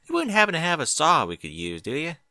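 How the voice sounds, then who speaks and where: A man's voice: on the high side, huge pitch swings, quick pace, bright, forceful tone, no room sound, clean studio sound, pitched above the performer's own voice, heavily acted. A man voicing a spunky young filly pony girl in a fan fiction reading, with an eager, indignant way of talking.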